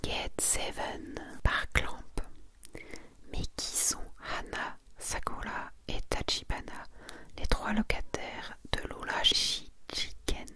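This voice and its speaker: Female ASMR host. Breathy whisper, very slow pace, gentle read-aloud presentation. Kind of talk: ASMR manga presentation, reading summaries aloud.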